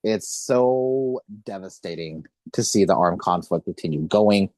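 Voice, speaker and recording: Deep-voiced young man hosting a podcast. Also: animated, a little slow, warm tone, dry recording. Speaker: podcast host and interviewer. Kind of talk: earnest conversational podcast interview.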